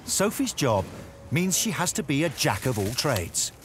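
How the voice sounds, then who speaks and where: A man's voice: medium pitch, sing-song, unhurried, a little hiss or hum. A man with an English accent narrating a documentary in a wry, storytelling way. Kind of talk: scripted narration. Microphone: studio voice-over. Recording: voice-over over loud music. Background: music.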